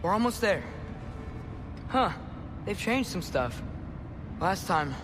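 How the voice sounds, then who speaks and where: A man's voice: sits high, sing-song, takes it slowly, full character voice. A male actor playing an earnest, curious teenage boy in a video game, often hesitant and searching. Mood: troubled. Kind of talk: video game character acting. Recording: very loud music behind the voice.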